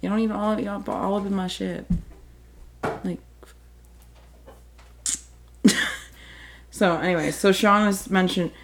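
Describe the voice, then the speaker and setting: A woman's voice: mid-range voice, fairly even intonation, takes it slowly, long pauses, a little hiss or hum. A woman chatting casually, swearing a lot, rambling with fillers and giggling often. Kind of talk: casual chat.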